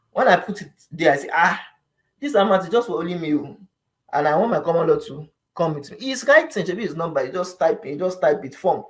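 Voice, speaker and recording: A man's voice: fairly high pitch, low-quality recording. A man with a Nigerian accent giving informal explanatory advice like a vlogger, conversational and emphatic, often repeating phrases and checking that the listener follows.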